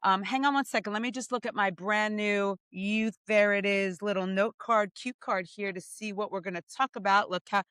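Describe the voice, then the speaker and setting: A woman's voice: medium pitch, steady tone, moderate pace, few pauses, fairly bright tone, smooth, no room sound, clean studio sound. A woman co-hosting a casual podcast, upbeat and playful as she chats and introduces her guest. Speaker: podcast co-host.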